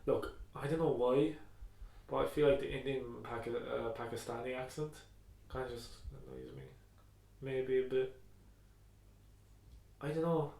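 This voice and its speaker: A man's voice: mid-range voice, steady tone, unhurried, gravelly, long pauses, a little mellow. A young man with an Irish accent chatting casually as a podcast co-host, blunt and slangy with plenty of swearing. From Ireland. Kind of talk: casual chat. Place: indoor room with echo. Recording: roomy, echoey room.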